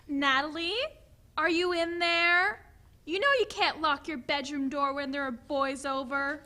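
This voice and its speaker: A woman's voice: sits high, fairly even intonation, measured pace, sharp tone, a little hiss or hum. A woman playing a cheery but watchful mother of a teenage girl in a dramatic reading of a play. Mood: scolding.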